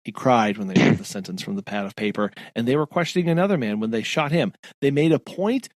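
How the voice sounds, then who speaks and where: A man's voice: medium pitch, lively intonation, fast, no room sound. Man chatting about a book, dry mic. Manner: summarizing and reacting with short agreements. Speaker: podcast co-host. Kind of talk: casual book discussion.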